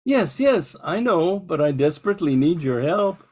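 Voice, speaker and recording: Man playing frazzled baker, high-pitched, very animated delivery, polite, earnest, hesitant, old-time radio drama. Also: normal speed. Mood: pleading. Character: harried, flustered small-town bakery owner, polite man. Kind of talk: 1940s radio drama acting.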